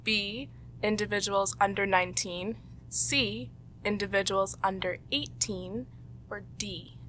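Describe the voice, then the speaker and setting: A woman with a Canadian accent and a bright, forward voice at an average pitch. She speaks as a host, presenting to listeners and guiding a group. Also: fairly even intonation, slow pace, a little hiss or hum, rough recording. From Toronto, Canada. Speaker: host and quizmaster. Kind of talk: presenting a quiz and leading a group discussion.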